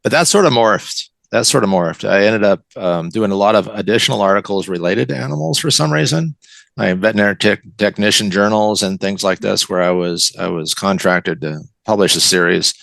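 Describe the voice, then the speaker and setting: Older american guy being interviewed, lowish creaky voice, close dry sound, thinks out loud. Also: a little fast, dry recording. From West Coast of the United States. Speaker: interview guest, writer. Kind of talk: conversational interview.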